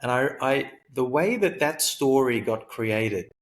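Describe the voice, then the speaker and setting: A man's voice: mid-range voice, expressive, unhurried. A man from Sri Lanka, raised in Australia, telling his life story as a guest in an interview, thoughtful and full of fillers. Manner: thoughtful, frequent fillers and self-corrections. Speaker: guest, high-performance coach.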